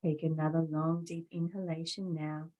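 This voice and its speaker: A woman leading a guided meditation as a yoga instructor, speaking in a calm, soothing, deliberate way with long pauses between phrases. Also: low voice, poor audio.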